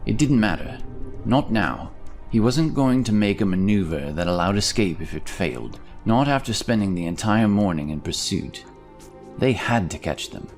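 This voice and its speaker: A low-pitched male narrator reads a scripted fantasy adventure over prominent music. His delivery is measured and dramatic, sitting deep in his register. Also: expressive. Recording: music behind the voice.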